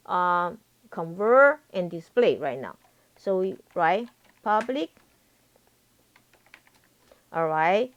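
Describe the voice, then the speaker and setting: A woman teaching a live programming lesson as a professor, repeating herself and often checking that students understand. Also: mid-range voice. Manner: repetitive, frequently checks for understanding.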